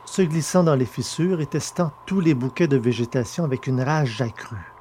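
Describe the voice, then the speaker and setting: A man narrates a science-fiction story in a measured, descriptive way. A faint background noise sits under his voice. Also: medium pitch, mellow. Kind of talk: scripted narration.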